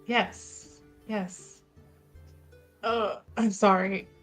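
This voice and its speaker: A woman's voice: medium pitch, fairly even intonation, drawn-out words, faint music behind. A woman playing a tabletop roleplaying game, improvising casual in-character dialogue, hesitant and repeating her phrases.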